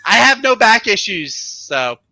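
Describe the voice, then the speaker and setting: A man's voice: high voice, sing-song, a little slow, pushed and bright, dry recording, rough recording. A man chatting casually as a panelist on a comics show. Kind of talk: casual chat.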